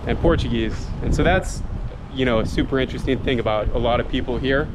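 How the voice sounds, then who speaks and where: A man's voice: medium pitch, fast. A man hosting a travel vlog outdoors, talking casually with lots of fillers and asking his guide questions. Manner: casual, filler-heavy, interviewing. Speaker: travel vlogger. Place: outdoors in a city. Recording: outdoor video with loud street noise.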